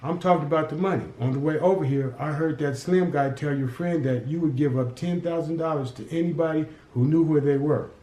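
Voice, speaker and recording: A man's voice: fairly high pitch, a little fast, hardly any pauses, mellow, lightly acted. A man voicing a cocky, defiant city teenager who talks back to gangsters. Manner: mouthy, defiant, smart-alecky. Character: cocky streetwise teen boy from the city. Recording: roomy echo.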